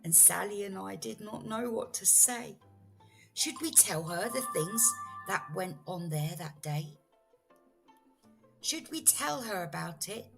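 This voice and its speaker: A woman reading a children's storybook aloud as a teacher, with expressive, dramatic phrasing. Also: medium pitch, slow pace, creaky. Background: music.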